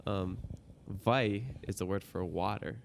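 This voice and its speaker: A man's voice: low voice, steady tone, takes it slowly, gravelly, a little hiss or hum. A man from Hawaii whose first language is Hawaiian, hosting a podcast in a friendly way, laughing often and asking questions. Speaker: podcast host. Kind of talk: casual interview chat.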